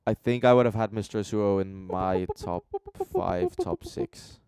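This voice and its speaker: A man's voice: on the low side, huge pitch swings, a little slow, darkish tone, clean tone, no echo, studio quality. A man from Denmark chatting casually as a co-host of a reaction show, with a blunt, joking, sweary manner. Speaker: co-host reviewing beatbox competition entries.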